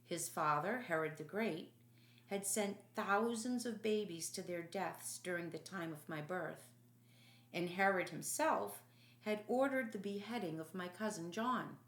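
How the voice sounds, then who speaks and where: A woman reading an audiobook as dramatic, solemn and deliberate scripted narration. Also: lowish voice, a bit bright, echoey room. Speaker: audiobook reader.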